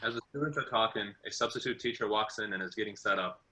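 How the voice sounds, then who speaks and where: A man's voice: on the low side, fast, bright, forceful tone, rough recording. A man reading scripted narration as the narrator of an amateur table read.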